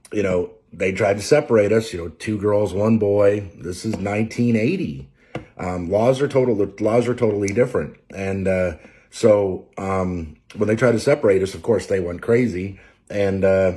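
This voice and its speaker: Halting, emotional low-voiced man from Maine. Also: warm tone. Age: 40s. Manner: halting, many fillers and restarts; emotional, close to tears. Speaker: trucker and preacher. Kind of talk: unscripted personal testimony.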